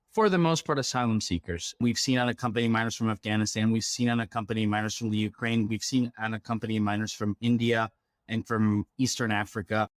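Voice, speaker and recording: A man from Ecuador speaks as an interview guest in a very dry-sounding space. His voice sits at a middle pitch, and he explains things conversationally, often checking in as he goes. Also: dry recording. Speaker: interview guest, refugee program worker.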